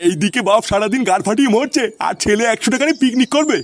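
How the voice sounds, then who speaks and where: A man's voice: very high voice, lively intonation, rapid-fire. A man voicing a grumpy, foul-mouthed old father and grandfather in a comedy cartoon, scolding and complaining in an earthy way. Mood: scornful scolding.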